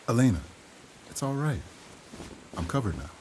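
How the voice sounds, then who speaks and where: An actor playing a gentle, forthright castaway man in love, with a measured and sincere delivery, in a period audio drama. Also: medium pitch, very animated, slight background noise, natural voice, poor audio. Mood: playful.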